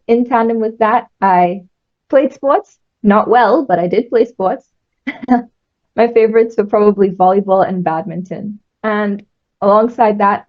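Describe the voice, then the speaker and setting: A young woman from Trinidad, answering interview questions in a measured, thoughtful way. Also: mid-range voice, muffled recording. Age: young adult. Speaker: engineering student guest.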